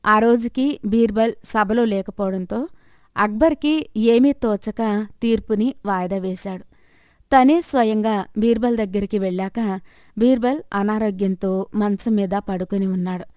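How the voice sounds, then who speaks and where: A woman's voice: mid-range voice, unhurried, darkish tone, no room sound, clean studio sound. A woman narrating a scripted moral story as the storyteller.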